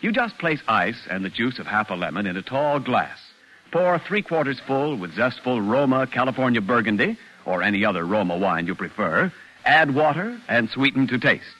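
A man's voice: medium pitch, big pitch swings, normal speed, pushed and bright, poor audio. A man announcing a 1940s radio commercial with a polished, emphatic sales delivery. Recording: 1940s radio recording, music behind.

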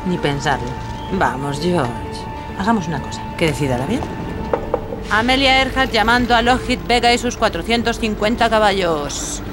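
A woman's voice: medium pitch, lively intonation, moderate pace, lightly acted. Woman acting spirited woman aviator over music. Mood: playful, stubborn. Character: determined, independent woman aviator of the 1930s. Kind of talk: scripted audio drama acting and narration. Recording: music behind the voice.

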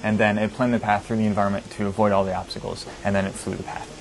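Flat, low-voiced man explaining. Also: fast talker. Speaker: researcher. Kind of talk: explanatory interview. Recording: clear background room noise.

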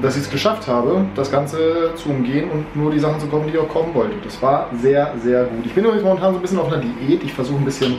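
A young man talks casually into a camera held at arm's length. He rambles and pokes fun at himself, and his voice carries a slight cold. A steady noise runs under the recording. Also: mid-range voice. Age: 20s. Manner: chatty, self-mocking, rambling asides. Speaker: vlogger. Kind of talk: casual weekly vlog chat. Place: home kitchen and inside a car. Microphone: handheld camera at arm's length.

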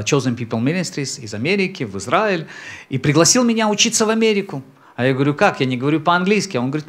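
A man in his thirties, a native Tajik speaker from Central Asia, gives his testimony to a congregation on a church stage. His voice sits a little high for a man, and he speaks into a handheld mic at an unhurried pace, pausing and clearing his throat. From Samarkand, Central Asia. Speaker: messianic rabbi, guest speaker. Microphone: handheld microphone.

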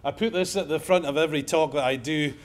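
A man's voice: fairly high pitch, fast. Wry Scottish man giving a lecture. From Scotland. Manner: wry, joking, conversational lecturing. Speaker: emergency medicine professor giving a lecture. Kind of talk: conference talk. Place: lecture room.

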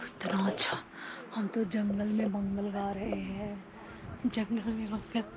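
A woman in her thirties excitedly narrating a travel vlog while walking a sunny trail, holding the camera at arm's length. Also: mid-range voice, slow. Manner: chatty and excitable, pointing out sights. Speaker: travel vlogger. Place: outdoors on a sunny volcano hiking trail. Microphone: camera held at arm's length. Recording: dull outdoor phone audio with wind noise.

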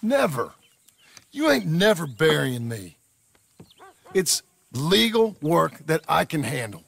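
An actor playing a gruff, stubborn rancher husband with a gravelly voice and a rural American accent in a game cutscene. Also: male voice, a little high, big pitch swings, slow, fairly bright tone, full character voice. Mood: defensive, stubborn. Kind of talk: video game cutscene acting.

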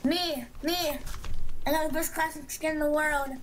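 High-voiced woman losing her voice. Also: steady tone, normal speed, background noise. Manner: cheerful, calls out plays. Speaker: gaming teammate. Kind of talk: casual gaming chat with callouts.

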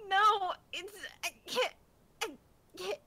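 An excitable young woman chatting casually and exclaiming often as a participant in a quiz stream. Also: extremely high pitch, takes it slowly. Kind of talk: casual chat. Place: online voice call. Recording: very noisy mic, clicks.

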